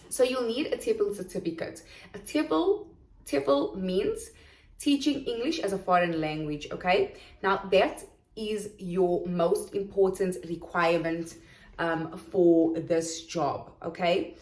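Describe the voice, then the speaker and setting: A woman with a Durban South African accent explaining in a casual, chatty vlog how to start coaching English online, checking in often with "okay?". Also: on the low side. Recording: echoey room.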